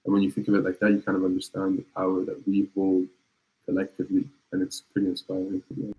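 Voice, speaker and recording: A man in his early twenties, a native French speaker, chatting as a guest in a relaxed, laid-back way. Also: sits low, quick pace, husky, lo-fi sound. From Palestine. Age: early 20s. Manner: relaxed, laid-back, slangy, frequent restarts. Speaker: guest musician. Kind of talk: casual conversational interview.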